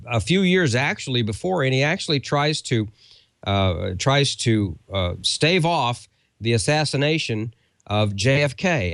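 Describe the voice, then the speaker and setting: A man's voice: mid-range voice, fairly bright tone, no echo. A man co-hosting a show, giving a casual, conversational sponsor read that rambles a little. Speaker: show co-host.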